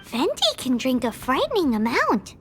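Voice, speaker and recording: Game casting: cheeky little floating fairy, childlike and extremely high, over prominent music. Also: female voice, huge pitch swings, moderate pace, fairly bright tone, heavily acted. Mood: dry amazement. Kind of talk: video game dub acting.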